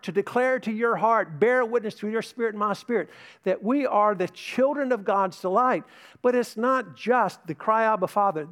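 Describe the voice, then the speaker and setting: A man's voice: high voice, fairly bright tone. An older man preaching a sermon to a congregation, earnest and emphatic, often repeating words as he builds a point. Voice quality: mentions tinnitus, no vocal trait. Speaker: pastor.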